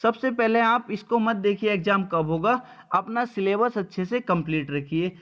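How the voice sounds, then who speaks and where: Male coaching teacher, high-pitched voice, informal, blunt, colloquial, frequent check-in tags. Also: normal speed, fairly bright tone. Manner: repeatedly checks understanding with short tags. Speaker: coaching teacher giving exam updates. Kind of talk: informal exam-update talk to students.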